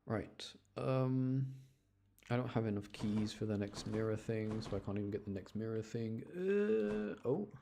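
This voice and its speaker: Low-pitched man rambling through a game stream. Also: animated, unhurried, dark tone. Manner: rambling, self-interrupting, mild grumbling. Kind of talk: casual live gameplay commentary to chat. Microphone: close streaming microphone. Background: game music.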